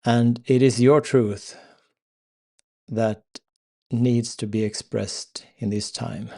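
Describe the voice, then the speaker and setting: A man with a Swedish accent hosting a spiritual live stream, talking slowly and hesitantly with many fillers and pauses. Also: mid-range voice, fairly even intonation, creaky, dark tone. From Sweden. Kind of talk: unscripted spiritual talk.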